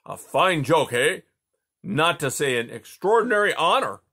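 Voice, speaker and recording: A man voicing a jolly, domineering Russian tsar who talks loudly and teases. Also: on the high side, very animated, measured pace, breathy edge, pitched above the performer's own voice, full character voice. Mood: teasing. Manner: loud, roaring, teasing.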